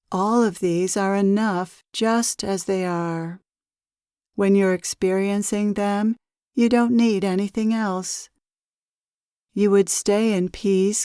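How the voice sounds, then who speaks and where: A woman leads a slow guided meditation in a soothing, measured voice. Her tone is smooth and clear, and she leaves long pauses between phrases. The recording is dry and close, from a quiet studio. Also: mid-range voice, no room sound. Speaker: spiritual teacher leading a meditation.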